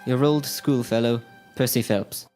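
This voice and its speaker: Man acting as distressed gentleman over music. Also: medium pitch, steady tone, measured pace, close to an everyday voice. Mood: weary, pleading. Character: distressed, weakened gentleman dictating a pleading letter. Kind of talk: audio drama letter reading.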